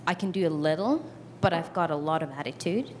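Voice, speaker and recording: A woman's voice: sits low, expressive, fairly quick, slight background noise. Chatty woman in her thirties. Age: 30s. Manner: chatty and self-deprecating, acts out her own reactions. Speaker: audience member asking a question. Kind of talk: question from the floor.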